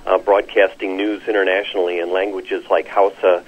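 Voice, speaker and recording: A man with a husky voice explaining things as an expert interview guest, hesitant and measured with frequent pauses. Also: sits low, level tone. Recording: slightly dull, lo-fi radio-call sound.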